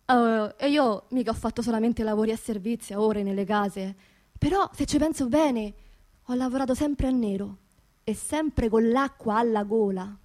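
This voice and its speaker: A woman with a high voice and a Roman accent tells a story as a theatrical radio monologue. Her language is colloquial and earthy, and she leans on words by saying them again and again. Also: darkish tone. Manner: emphatic repetition of words and phrases. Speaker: stage actress performing her own monologue.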